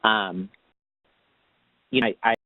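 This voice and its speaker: A man with a lowish voice explains how his program works in a conversational, rambling way. He comes through dry and close, on a thin telephone-style line. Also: fairly even intonation, slow, dry recording. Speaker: tax assistance program manager. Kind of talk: casual explanatory Q&A answer. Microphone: phone or web conference call. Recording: dry, narrow phone-line sound.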